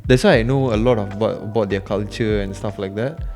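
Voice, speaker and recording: A man with a Malaysian English accent, whose first language is Tamil, talking casually on a podcast with many pauses and restarts. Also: fairly low pitch, darkish tone, soft background music. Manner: halting, many fillers and restarts. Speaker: podcast co-host. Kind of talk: casual chat.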